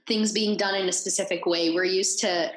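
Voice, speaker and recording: An outspoken woman co-hosts a casual podcast chat, tripping over the first words of her questions. Her middle-pitched voice rings in an echoey room. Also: steady tone, moderate pace. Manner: outspoken, stumbling starts to questions. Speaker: podcast host.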